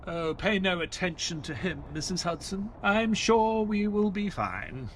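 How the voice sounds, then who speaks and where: An actor playing an earnest male doctor who narrates an audio drama as his memoir, with expressive, dramatic delivery. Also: sits high, measured pace, slight background noise. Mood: earnest, reassuring.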